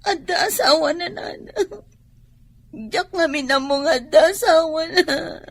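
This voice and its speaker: Slow, high-pitched, meek young Filipino woman. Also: no echo. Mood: tearful, sorry. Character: pregnant, ailing young daughter, meek and pleading. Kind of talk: radio drama acting.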